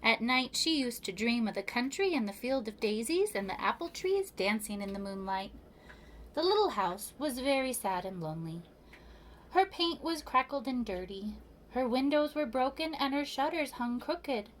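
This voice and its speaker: A woman reading a children's picture book aloud as a preschool storyteller, with expressive, measured delivery and emphatic pauses. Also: on the high side, a little hiss or hum, low-quality recording.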